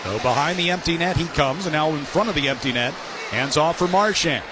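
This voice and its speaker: A man with a fairly high voice calls a live hockey game as a radio play-by-play commentator. Loud arena noise surrounds him throughout. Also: animated, fairly quick. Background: hockey arena ambience.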